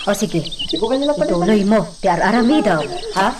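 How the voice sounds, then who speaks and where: A woman's voice: medium pitch, expressive, measured pace, noisy background, natural voice. A woman acting a bossy, cautious worker in a radio drama, with an Ilocano Filipino accent. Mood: nagging, wary. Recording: very loud bird and cricket ambience.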